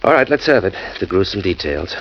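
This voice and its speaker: An actor playing a teasing, exasperated young husband, quick to grumble, in 1940s radio acting style. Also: male voice, deep voice, very animated. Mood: wry. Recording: muffled old radio recording with hiss.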